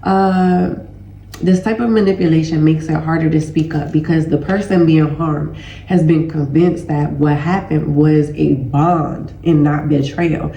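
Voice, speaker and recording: Stuffy-voiced woman with a gravelly edge. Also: sits low, steady tone, unhurried, mellow, slight background noise. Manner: conversational, loose, addresses listeners as y'all. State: stuffy nose and sore throat. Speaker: healing educator and host. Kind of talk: casual educational talk to viewers. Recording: roomy echo.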